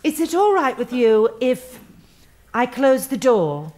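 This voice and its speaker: High-voiced, syrupy upbeat HR manager. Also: female voice, very animated, darkish tone. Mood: careful, earnest. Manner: gushing, overly earnest, drawn-out emphasis. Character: relentlessly upbeat, syrupy, sanctimonious female human resources manager. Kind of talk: comedic stage play acting. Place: theatre stage before a live audience.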